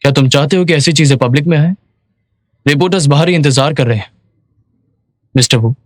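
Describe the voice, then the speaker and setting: A man's voice: a little high, a little fast, no room sound, lightly acted. Man playing scheming businessman, dry close sound. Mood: menacing, superior. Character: scheming, arrogant businessman seizing a company. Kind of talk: dubbed drama dialogue.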